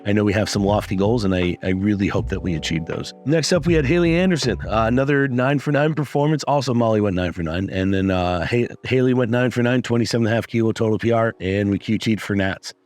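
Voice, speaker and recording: A man coaching lifters, talking through results in a casual, upbeat recap with a raspy voice and plenty of swearing. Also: lowish voice, fast talker, few pauses, darkish tone. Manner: upbeat, swears freely, many fillers. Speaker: strength coach.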